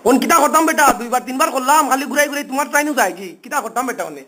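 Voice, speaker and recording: A man's voice: very high-pitched, animated, very fast, sharp tone. A man with a Sylheti accent on a phone call, talking informally in a pleading, complaining, repetitive way. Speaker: phone caller.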